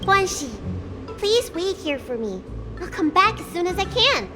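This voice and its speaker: A woman's voice: very high voice, sing-song, a little slow, music in the background, heavily acted. A woman voicing a small dragon girl in a video game, a frank and curious young elder with a playful, childlike manner. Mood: cheerful, curious.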